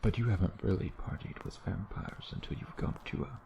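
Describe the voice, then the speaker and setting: A low-voiced, sardonic male vampire, acted by a man for an ASMR roleplay. His delivery is gravelly and unhurried, teasing with a predatory edge. Night insects sound clearly behind him. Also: highs cut off, background noise. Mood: grudging, boastful. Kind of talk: ASMR roleplay, intimate scripted acting.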